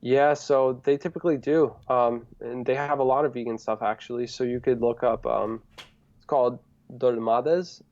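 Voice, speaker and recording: A man raised in the United States who grew up speaking Greek at home, chatting casually as a guest on a live stream in a relaxed, rambling way. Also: medium pitch, normal speed, highs cut off. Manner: relaxed, rambling, laughs easily.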